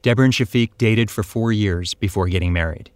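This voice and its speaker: A man hosting a podcast, giving calm, measured scripted documentary narration. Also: lowish voice, dry recording.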